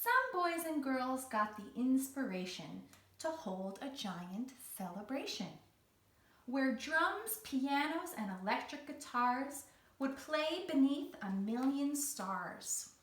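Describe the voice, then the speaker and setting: A woman's voice: high-pitched, very animated, slow pace, a bit bright. A woman speaking as a children's read-along host and teaching artist, in an encouraging, theatrical storytelling manner with carefully phrased lines. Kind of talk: guided exercise and storytelling for young children. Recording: roomy echo, distant from the mic.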